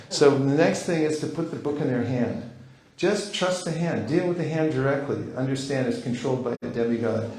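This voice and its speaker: A man's voice: medium pitch, creaky, a little mellow. Playful man teaching in an echoey hall. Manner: playful, acts out little dialogues. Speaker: seminar teacher. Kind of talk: live seminar talk. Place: large hall. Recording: roomy hall sound.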